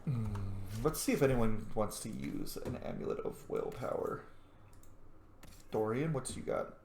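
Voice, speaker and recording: A man's voice: medium pitch, measured pace, gravelly, a little mellow. A man giving casual gameplay commentary, thinking aloud and muttering to himself as he goes. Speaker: let's play host. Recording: roomy echo, faint background noise.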